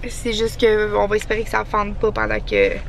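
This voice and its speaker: A woman's voice: mid-range voice, sing-song, moderate pace, gravelly, a little hiss or hum. A woman with a Québécois French accent, chatting casually in a rambling vlog, sounding congested with a sore throat. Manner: chatty, rambling with many fillers. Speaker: vlogger. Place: car and outdoors while traveling.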